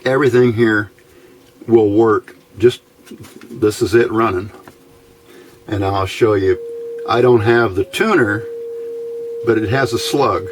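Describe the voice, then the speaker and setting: A man giving an informal, unscripted hands-on electronics demonstration, talking haltingly and thinking aloud as he goes. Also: on the low side. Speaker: radio hobbyist presenting his build. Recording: clear background noise.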